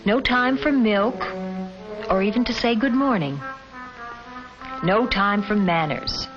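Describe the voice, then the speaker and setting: A woman's voice: medium pitch, animated, slow pace. Admonishing 1950s woman narrator over film music. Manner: admonishing, addresses the listener directly. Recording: dull vintage film soundtrack with music. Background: film score music.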